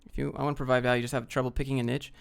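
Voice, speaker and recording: A man from the United States with a gravelly, fry-laden voice at an average male pitch. He talks informally and bluntly, like a streamer fielding questions from viewers, reading each one out and replying off the cuff. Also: level tone, racing. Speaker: livestream host answering viewer questions. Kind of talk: casual off-the-cuff Q&A chat, reading questions aloud.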